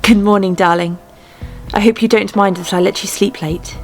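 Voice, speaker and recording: A woman's voice: mid-range voice, fairly even intonation, moderate pace, close to an everyday voice. A woman playing an affectionate, teasing young wife and princess in a fan dub drama. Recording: light music behind the voice.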